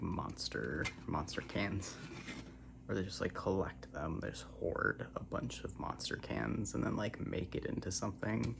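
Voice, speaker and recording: A soft-spoken ASMR creator rambling casually and meandering, trailing off toward near-whispers. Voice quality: soft, low, creaky voice. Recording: noisy recording with tapping and humming. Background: hum, tapping and scratching sounds.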